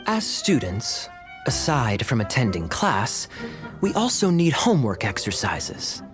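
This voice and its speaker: A man voicing a sly young knight in a video game, smooth-talking, wry and mock-polite. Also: medium pitch, wildly swooping pitch, a little slow, music in the background. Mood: sly, amused. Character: suave, sly, teasing young male knight. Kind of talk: animated video game voice acting.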